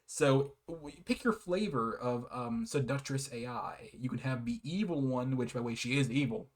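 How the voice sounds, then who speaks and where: A man's voice: mid-range voice, expressive, moderate pace. A man giving a casual, rambling game review in a hesitant, apologetic manner with frequent giggles.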